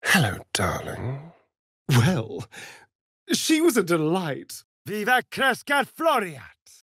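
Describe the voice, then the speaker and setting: A sly vampire rogue for a fantasy video game, British and flamboyantly camp. He drawls slowly and teasingly, his pitch swooping theatrically from line to line. The recording is dry and close, with a sardonic, arch delivery throughout. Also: wildly swooping pitch, a bit bright, husky, no room sound, full character voice. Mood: coy, teasing.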